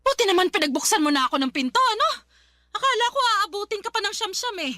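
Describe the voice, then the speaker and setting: A woman's voice: very high voice, expressive, fast, pushed and bright, slight background noise, full character voice. A woman playing an angry, domineering older mother-in-law with a Filipino accent, scolding sarcastically in a radio drama. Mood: scolding, sarcastic.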